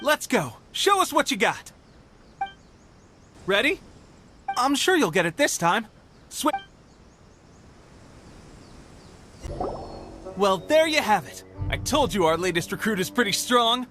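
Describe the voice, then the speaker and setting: A spirited young male resistance soldier in a video game. His voice is very high for a man and swings widely in pitch. He sounds friendly, cocky and encouraging, with faint music behind him. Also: measured pace, pauses often, full character voice. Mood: upbeat, encouraging. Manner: upbeat, encouraging, expressive. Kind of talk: scripted video game voice acting.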